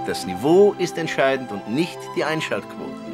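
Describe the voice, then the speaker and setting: A man's voice: medium pitch, big pitch swings, normal speed, over music, lightly acted. A man playing a vain, cynical, foul-mouthed TV entertainer in a radio comedy interview sketch. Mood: sanctimonious. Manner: showy on air, dismissive and coarse otherwise.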